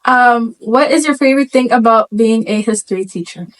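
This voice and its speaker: A young woman hosting a school news show, reading interview questions in a plain, steady way. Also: fairly high pitch, fairly quick, poor audio. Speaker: student news host.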